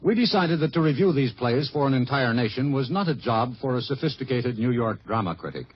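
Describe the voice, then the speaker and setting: A man's voice: mid-range voice, expressive, a little fast, slightly rough. An American man hosting a radio program, reading scripted narration with dry humor on a vintage broadcast. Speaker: radio host. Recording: muffled 1950s radio broadcast.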